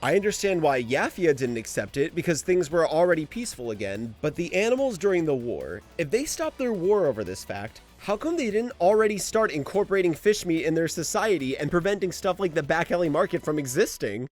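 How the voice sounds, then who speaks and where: A man's voice: a little high, very animated, a little fast, hardly any pauses. A man hosting an anime lore video, delivering scripted commentary in an emphatic way. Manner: emphatic, exasperated asides, swearing. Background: background music.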